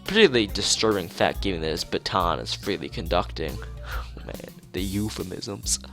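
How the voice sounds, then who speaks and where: A man's voice: sits low, moderate pace, gravelly. A man streaming a visual novel, reading the narration aloud and adding casual remarks. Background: soft background music.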